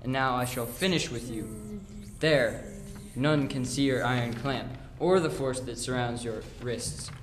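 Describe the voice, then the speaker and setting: A man's voice: medium pitch, unhurried, lightly acted. A teenage boy playing a scheming, scornful sorcerer's pupil in a school radio play, with grand, declamatory delivery. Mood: scornful, gloating. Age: young teenager. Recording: roomy echo, faint background noise.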